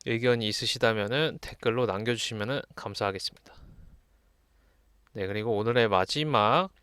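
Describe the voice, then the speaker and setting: A man giving an explanatory lesson, reading texts aloud and commenting on them in a measured, didactic way. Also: fairly low pitch, fairly bright tone, smooth, no room sound. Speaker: lecturer.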